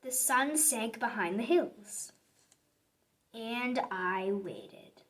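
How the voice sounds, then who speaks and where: A woman's voice: fairly high pitch, expressive, very slow, a bit bright, rough recording. A woman reading a children's picture book aloud in a theatrical, emphatic narrating style. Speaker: children's story reader. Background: music.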